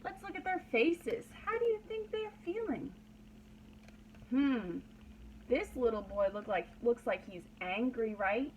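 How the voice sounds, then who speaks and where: A woman's voice: fairly high pitch, lively intonation, measured pace, darkish tone, highs cut off, slight background noise. A woman pre-kindergarten teacher leading a read-aloud lesson for young children, using simple, repeated phrases and prompting questions.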